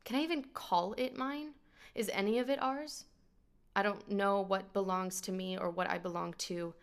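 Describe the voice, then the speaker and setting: A young woman from Texas giving a reflective, searching monologue with a quietly wry edge. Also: mid-range voice, fairly even intonation, moderate pace, smooth. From Texas, United States. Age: young adult. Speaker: interviewee in a video installation.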